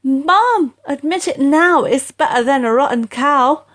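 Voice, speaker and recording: Lady doing a eager little boy in a storybook, cheeky n excitable, high voice. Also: a little slow. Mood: insistent, excited. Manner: excitable, pleading with mother.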